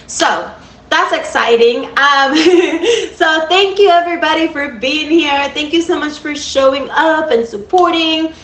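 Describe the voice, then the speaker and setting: An upbeat Cuban-accented woman in her thirties chats directly to her livestream viewers in a lively, friendly way. Her voice sits a little high. The room gives the sound a clear echo. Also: unhurried. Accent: Cuban Spanish. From Cuba. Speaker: livestream host. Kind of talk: casual livestream talk to viewers. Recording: echoey room.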